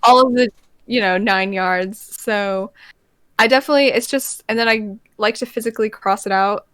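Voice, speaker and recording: A woman's voice: mid-range voice, moderate pace, fairly bright tone, smooth, no room sound, poor audio. A young woman, a college student who makes ASMR videos, chatting as a guest in a bubbly, upbeat way. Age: young adult. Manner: bubbly, upbeat, laughs easily. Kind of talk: casual interview chat.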